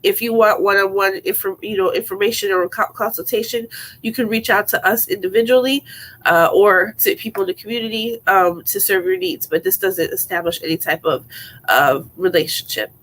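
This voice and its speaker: A woman's voice: medium pitch, a little fast, fairly bright tone, rough recording. A woman attorney co-hosting a live online show, congested from a cold, casual and hesitant, with many fillers. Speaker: attorney co-host.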